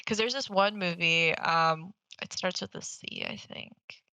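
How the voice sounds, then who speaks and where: A woman's voice: fairly low pitch, measured pace, bright, forceful tone, smooth, no room sound. A young woman co-hosting a casual movie podcast, blunt and sweary, given to hyperbole. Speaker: podcast host.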